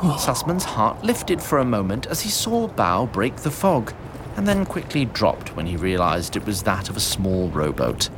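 A man's voice: fairly low pitch, huge pitch swings, a little slow, background noise. A man narrating a fantasy audio drama in a theatrical, formal storybook style. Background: music and sound effects.

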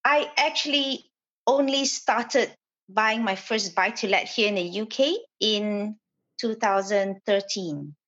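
A woman's voice: medium pitch, slow, a bit bright, muffled recording. Hesitant woman guest from Malaysia. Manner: hesitant, with frequent fillers and restarts. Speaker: property developer. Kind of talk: podcast interview guest.

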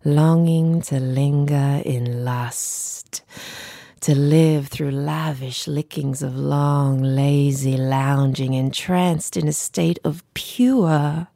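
A woman reads spoken-word poetry in a very deep, dark voice with a lot of creak. Her delivery is sensual and drawn out. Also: steady tone, very slow, no echo, studio quality. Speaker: writer reading own piece. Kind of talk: poetic spoken-word reading.

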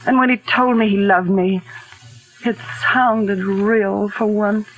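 A woman's voice: mid-range voice, fairly even intonation, slow pace, poor audio. An actress playing an anguished, sophisticated mother in a theatrical, intense 1940s radio drama style. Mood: anguished, confiding. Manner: theatrical, intense, earnest delivery. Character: anguished, sophisticated society mother confessing to a shooting. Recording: old 1940s radio recording.

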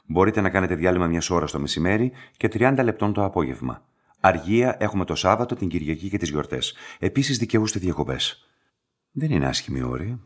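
An actor playing a composed, courteous and enigmatic laboratory director in a radio play, speaking in a measured, formal way. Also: male voice, deep voice. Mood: businesslike, courteous. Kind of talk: scripted radio drama dialogue.

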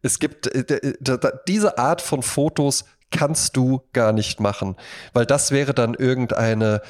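A man's voice: mid-range voice, measured pace. A man co-hosting a podcast in casual conversation, talking in a rambling, anecdotal way and slipping into little imagined quotes. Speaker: podcast co-host.

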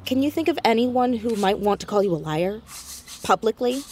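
A woman's voice: on the high side, lively intonation, moderate pace, darkish tone, slight background noise, close to an everyday voice. A woman playing an earnest, inquisitive fourteen-year-old girl amateur detective in a naturalistic audio drama.

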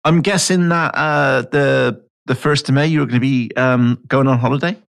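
A man's voice: mid-range voice, normal speed, gravelly, fairly bright tone. A man co-hosting a casual podcast who teases his partner and laughs often. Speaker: podcast co-host.

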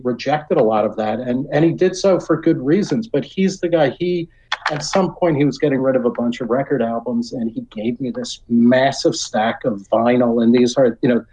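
A man's voice: medium pitch, dark tone, slight background noise, rough recording. A middle-aged or older man with an American accent, talking as a podcast guest in a casual, reflective, rambling way full of "you know". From New York area, United States.

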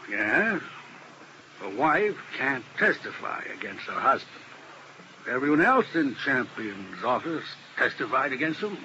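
A weary, troubled old-time lawyer. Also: male voice, mid-range voice, very animated, a little slow, pushed and bright, full character voice, poor audio. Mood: guarded. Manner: troubled, heartfelt. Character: weary, guilt-ridden male defense lawyer. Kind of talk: 1950s radio drama acting. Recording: hissy old radio broadcast.

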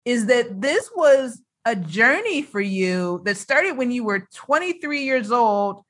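A woman's voice: fairly high pitch, sing-song, takes it slowly. A woman hosting a conversational faith interview, speaking in an affirming, encouraging way. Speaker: podcast host.

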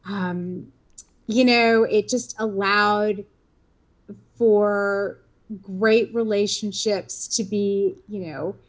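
A woman's voice: mid-range voice, steady tone, very slow. Fifties woman, interview guest, rambling conversational delivery. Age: 50s. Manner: rambling, many fillers and restarts.

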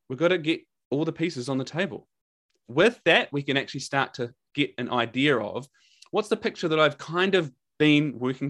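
A man's voice: a little high, fairly bright tone. A man with a New Zealand accent, a podcast guest and coach, talking candidly and energetically, swearing freely and repeating words for emphasis. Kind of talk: casual podcast conversation.

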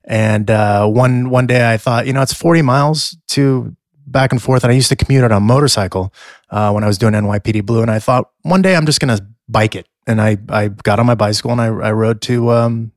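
A man's voice: mid-range voice, fairly quick, no room sound, clean studio sound. A middle-aged man with a scratchy voice, co-hosting a podcast in casual chat and rambling through fillers and restarts. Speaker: podcast co-host.

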